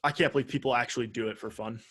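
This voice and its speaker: A man's voice: mid-range voice, steady tone, very fast, fairly bright tone. A man co-hosting a podcast in casual banter, speaking in blunt, slangy and sweary bursts. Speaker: podcast co-host.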